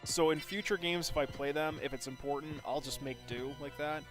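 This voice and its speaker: Man streaming over loud game music. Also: on the high side, fairly quick. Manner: informal, thinking aloud. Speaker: video game live streamer. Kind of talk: casual gameplay commentary, chatting with viewers. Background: video game music.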